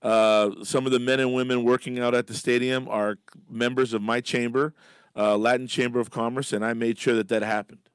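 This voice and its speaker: A middle-aged man speaks as a studio guest, his voice smooth and resonant and his pitch in the middle. He talks emphatically but haltingly, full of "uh"s and restarts. The studio mic is dry and close. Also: little pitch movement, dry recording. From Miami. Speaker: radio guest, business leader. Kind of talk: casual radio interview. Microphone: studio microphone.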